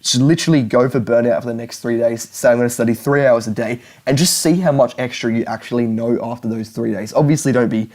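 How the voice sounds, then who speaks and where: A man's voice: mid-range voice, steady tone, quick pace, hardly any pauses, a little mellow. Earnest young man giving study advice. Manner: earnest, repetitive, restarts often. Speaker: language learning YouTuber. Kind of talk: direct-to-camera advice talk.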